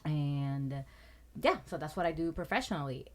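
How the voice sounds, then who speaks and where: A woman's voice: sits low, lively intonation, normal speed. Chatty thirty-year-old woman from Puerto Rico. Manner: loud, talkative, swears and laughs often. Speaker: guest. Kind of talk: casual interview chat.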